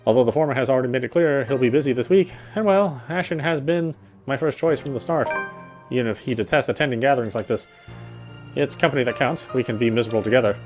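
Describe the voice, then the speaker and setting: Male game player as narrator: rapid read-aloud delivery with occasional stumbles, music underneath. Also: medium pitch. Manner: stumbles and rereads phrases. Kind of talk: reading visual novel narration aloud.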